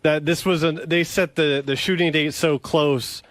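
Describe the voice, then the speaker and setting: A man explains things off the cuff in a fairly high voice. He is halting and restarts his phrases, recorded close and dry. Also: fairly even intonation, a bit bright, no room sound. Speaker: radio show staffer.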